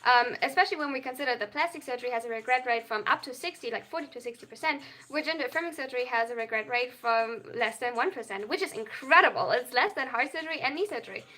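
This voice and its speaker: A young adult, reading viewer chat aloud on a livestream and answering at length. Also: female voice, high-pitched, lively intonation, fast, hardly any pauses, sharp tone. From Germany. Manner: reads viewer chat aloud and answers, tends to over-explain. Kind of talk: casual live-stream chat.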